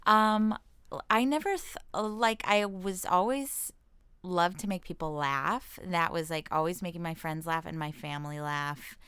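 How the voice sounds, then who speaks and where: Clear low-voiced American woman chatting casually. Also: unhurried, a bit bright, no echo. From Washington state, United States. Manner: informal, self-deprecating, thinks aloud. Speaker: comedian and actress, interview guest. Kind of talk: casual chat.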